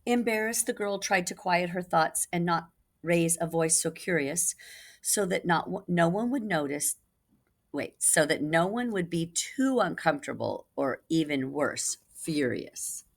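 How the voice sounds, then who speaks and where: A woman reads a storybook aloud to young children in a low-pitched voice. Her delivery is very animated, rising and dipping widely, with dramatic stress on key words in the manner of a reading teacher. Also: a little slow. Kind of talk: expressive children's read-aloud.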